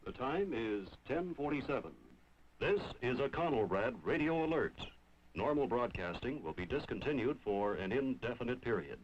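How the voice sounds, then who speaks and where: A man's voice: medium pitch, expressive, normal speed, a little hiss or hum. A man reading a formal emergency radio announcement in a 1950s drama. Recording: dull old radio broadcast sound.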